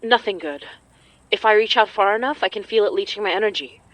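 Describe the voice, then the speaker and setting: A woman acting as an anxious but determined young folk magic practitioner in an audio drama, sometimes halting. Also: mid-range voice, fairly bright tone, no room sound, natural voice, poor audio. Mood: focused, serious.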